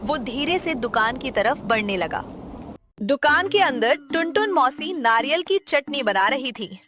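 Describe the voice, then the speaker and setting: A woman's voice: high-pitched, animated, unhurried, fairly bright tone. A woman narrator reading a scripted children's story. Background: music under the voice.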